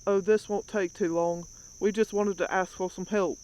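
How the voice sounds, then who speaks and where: A man's voice: high voice, steady tone, normal speed, darkish tone, a little hiss or hum, natural voice. A man playing a taunting, slangy thug sidekick in an audio roleplay. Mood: taunting, smug.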